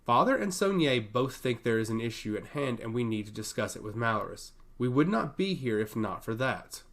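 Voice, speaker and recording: A man's voice: mid-range voice, big pitch swings, a little fast, a bit bright. A man voicing a proud, diplomatic noble sister in a fantasy audiobook, with haughty, articulate delivery. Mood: indignant, earnest.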